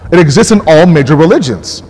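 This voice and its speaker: A man's voice: a little high, expressive, quick pace, a little mellow, breathy edge. A man with an American accent delivering an impassioned sermon, often repeating and restarting phrases as he builds a point. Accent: American English. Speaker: mosque speaker. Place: mosque hall. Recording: long hall reverb.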